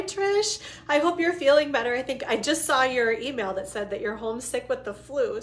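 A woman in her forties, a bit hoarse from a cold, chattily demonstrating craft supplies on a home live stream and greeting viewers by name. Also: fairly high pitch. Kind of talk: casual live-stream demo. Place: living room at home.

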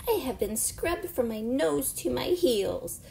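A woman's voice: on the high side, sing-song, takes it slowly, vocal fry, dark tone, slight background noise, voice pushed up, exaggerated voice, low-quality recording. A woman voicing an aggrieved old farm pig with squealing exclamations in a storybook. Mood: complaining.

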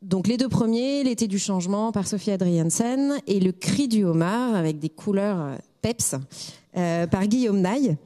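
A woman's voice: medium pitch, expressive, moderate pace, mellow, in a large hall. A middle-aged woman guest on a studio talk show, speaking with many fillers and restarts into a handheld mic. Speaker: guest, editorial director. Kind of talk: interview. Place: small studio set.

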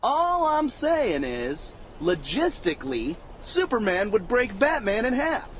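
Wisecracking American GI, game cutscene. High-pitched, very animated, sarcastic, loves to tease. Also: male voice, takes it slowly, dull audio, a little hiss or hum, heavily acted. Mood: teasing. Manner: sarcastic, argumentative. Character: wisecracking, teasing young American paratrooper.

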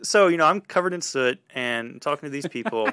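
A man telling a personal story in a casual, animated way on a podcast. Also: a little high, fairly quick, clean tone, dry recording, studio quality.

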